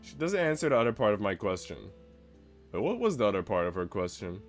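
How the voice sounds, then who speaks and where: Male game streamer, lowish voice, informal and slangy, music playing behind him. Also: sing-song, quick pace. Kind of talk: casual chat mixed with reading visual novel narration aloud.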